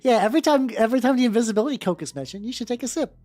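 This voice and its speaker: A woman's voice: a little high, lively intonation, racing, dark tone. A woman streamer with a playful, joking manner, chatting casually and reading fanfiction aloud for comic effect. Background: background music.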